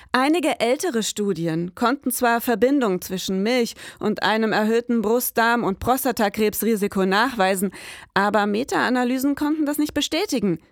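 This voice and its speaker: A woman's voice: on the high side, no echo, clean studio sound. A woman hosting an investigative podcast, speaking as a narrator and investigator.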